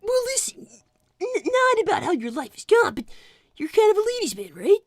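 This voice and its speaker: A woman's voice: very high voice, very animated, fairly quick, no room sound, clean studio sound. A woman voicing an anxious, earnest young boy fox who stammers defensively on a character livestream. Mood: awkward, hesitant. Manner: stammering, flustered. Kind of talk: improvised character livestream with donation reading.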